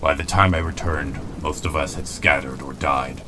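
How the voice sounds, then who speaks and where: An actor playing a weary, stoic robot commander, solemn and commanding, in a dramatic comic dub. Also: male voice, very deep voice, fairly even intonation, normal speed, noisy background. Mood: somber, weary. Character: weary, stoic male robot commander, grave and self-doubting.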